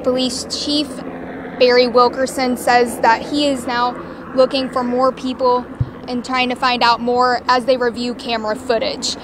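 A woman's voice: on the high side, measured pace. A young woman in her twenties, a field reporter speaking into a handheld mic outdoors in a parking lot, reporting steadily. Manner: steady, plain reporting with some restarts. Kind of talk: live news report. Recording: outdoor noise behind.

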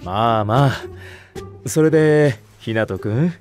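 An actor playing a calm, kindly veteran male nursery teacher with a soothing, mature manner in an anime drama. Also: mid-range voice, slow pace, faint music behind, natural voice.

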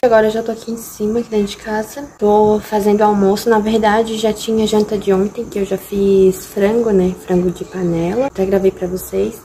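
Young woman vlogging her day at home, mellow voice, camera held out at arm's length. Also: medium pitch, level tone, a little fast, few pauses, a little hiss or hum, poor audio. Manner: chatty, ends phrases with tag questions to viewers. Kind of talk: casual vlog chat to camera. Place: house interior, garage and kitchen. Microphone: handheld camera at arm's length.

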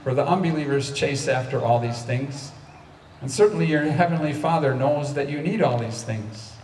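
An American pastor in his sixties speaks to a congregation with measured, declamatory delivery. His voice comes from a distance over speakers at an outdoor service, with a long reverberant tail and clear background noise. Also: fairly high pitch, a little mellow. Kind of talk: reading scripture and addressing a congregation at a church service. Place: outdoor lawn service beside a church. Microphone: handheld microphone through speakers, picked up from afar.

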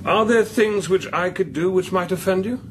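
An actor playing a thoughtful, cautious priest in a radio drama, asking gently probing questions in a hesitant way. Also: male voice, high-pitched, moderate pace, lightly acted. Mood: curious, careful. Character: thoughtful, cautious male priest interviewing an artificial intelligence. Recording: clear background noise.

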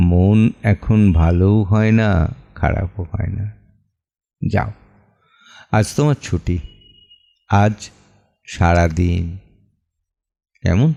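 A man playing a weary, wounded middle-aged father in a dramatic audio play monologue, with deliberate, theatrical delivery and heavy pauses. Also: lowish voice, gravelly, warm tone. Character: weary, wounded father of an assaulted daughter, brooding.

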